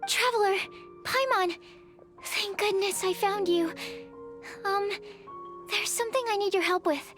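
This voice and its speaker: A woman's voice: very high-pitched, measured pace, long pauses. A woman playing a shy, earnest young alchemist in a video game, with polite, precise, scholarly phrasing. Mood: anxious, earnest. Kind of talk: video game character voice acting. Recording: background music under the voice.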